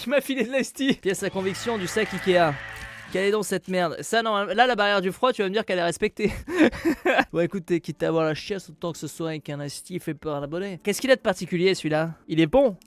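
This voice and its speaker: High-voiced excitable male streamer. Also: a little fast, faint music behind. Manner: excitable, loud exclamations, casual swearing. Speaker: streamer opening a viewer's package. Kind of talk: casual unboxing chat.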